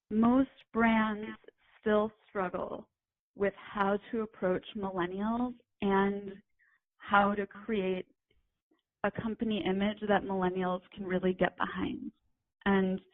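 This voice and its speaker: A woman's voice: mid-range voice, no echo. Young woman explaining over a phone line. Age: young adult. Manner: measured, explanatory, with ums. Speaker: guest, retail writer and editor. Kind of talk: casual interview chat.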